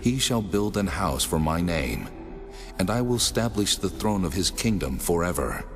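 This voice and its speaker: A man voicing the Lord God in a dramatized scripture reading, solemn, measured and authoritative. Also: deep voice, sing-song. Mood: solemn, commanding. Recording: long cavernous reverb, music underneath. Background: music.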